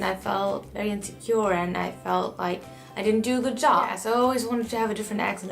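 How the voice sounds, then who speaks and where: A woman's voice: medium pitch, gravelly. Earnest young German woman vlogging. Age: young adult. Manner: earnest, reflective, encouraging. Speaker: au pair vlogger. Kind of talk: casual talk to camera.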